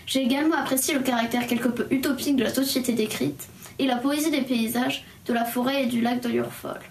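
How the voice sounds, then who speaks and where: A woman's voice: high voice, fairly even intonation, quick pace, roomy sound, distant mic, slight background noise. A girl reading a prepared book review aloud at home, in front of bookshelves. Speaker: young jury member reviewing a book.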